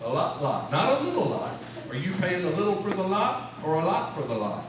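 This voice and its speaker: A man acts out an earnest, dim small-town fellow in a comic radio play, rambling and taking everything literally. The voice sits a bit high and comes from far off in a very echoey, clearly noisy hall. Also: big pitch swings, a little fast. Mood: rambling, obtuse. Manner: rambling, obtuse, folksy. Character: Henry, slow-witted folksy small-town man, earnestly literal-minded. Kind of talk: comic radio-show sketch before a live audience.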